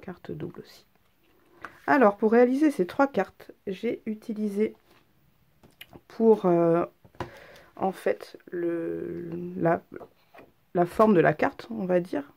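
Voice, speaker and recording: A woman's voice: medium pitch, takes it slowly, gravelly, long pauses, a little mellow. A woman with a head cold, presenting handmade cards in a chatty, hesitant way full of ums. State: has a head cold, stuffy. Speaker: craft vlogger. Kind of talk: casual craft show-and-tell.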